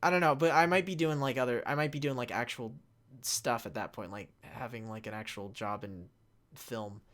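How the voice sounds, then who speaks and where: A young man with a mid-pitched voice talks casually, as a creator fielding questions from a chat. His delivery is halting and unscripted, dropping to a mumble to read and getting louder to answer.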